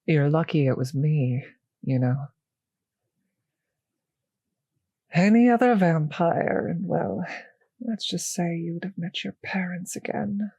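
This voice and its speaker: A woman playing a possessive, teasing vampire mistress in an intimate roleplay audio drama, speaking slowly and condescendingly with a breathy voice that often sinks to a whisper. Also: lowish voice, warm tone, no echo, lo-fi sound, exaggerated voice. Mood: menacing, hushed.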